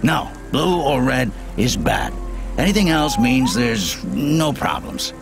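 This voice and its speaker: An actor playing an eccentric, self-important, muddled engineer in a video game, rambling in a gravelly voice. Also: male voice, mid-range voice, sing-song, slow pace, music in the background, full character voice. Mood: muddled, cautionary.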